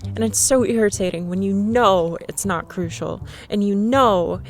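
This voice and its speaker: A young woman in a scripted ASMR roleplay, playing a shy, nervous stranger who rambles and laughs nervously in a soft voice. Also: mid-range voice, unhurried.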